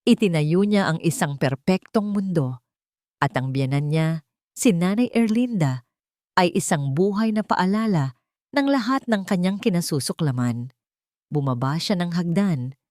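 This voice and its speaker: Female storyteller, low register, dramatic scripted delivery, close and very dry sound. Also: expressive, a little slow, darkish tone, no echo.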